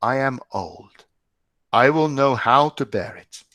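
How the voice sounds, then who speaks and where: A man reading aloud, voicing a calm, resigned old rabbi who speaks slowly and evenly. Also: medium pitch, no echo, clean studio sound, voice pushed up. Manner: calm, measured.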